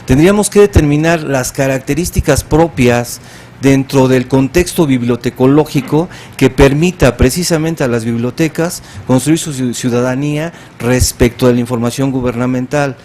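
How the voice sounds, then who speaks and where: A man speaking as a panelist at an academic symposium, measured and thoughtful, with frequent filler pauses. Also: mid-range voice, a little mellow, slight background noise. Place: conference hall.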